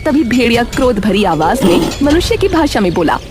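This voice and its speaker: A woman narrating a scripted animated story as a storyteller. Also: fairly high pitch, brisk, music in the background.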